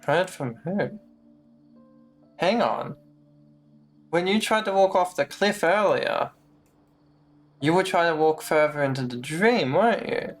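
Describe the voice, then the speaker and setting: A streamer commenting casually on a game as it plays. The voice is medium-pitched and unhurried, making blunt asides over faint music. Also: expressive. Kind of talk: casual live gameplay commentary.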